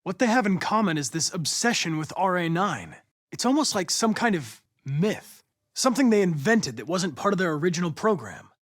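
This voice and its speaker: A man's voice: high-pitched, very animated, moderate pace. An American man playing a polite, analytical young android detective in a video game, earnest and determined, with a precise, formal delivery. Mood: measured, analytical.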